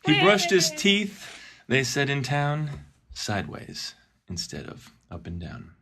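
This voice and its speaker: A theatrical storybook narrator performed by a man recites comic Christmas verse. The voice is husky, with swooping, measured emphasis on the rhymes. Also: medium pitch, wildly swooping pitch, fairly bright tone, exaggerated voice. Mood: mock-dramatic. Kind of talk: dramatic poetry reading.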